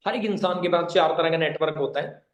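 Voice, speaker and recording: A man's voice: a little high, brisk. A man giving informal online training, emphatic and repetitive, addressing listeners directly and mixing in English words. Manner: mixes English words and phrases into speech. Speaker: online network-marketing trainer. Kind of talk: informal instructional talk to an online meeting.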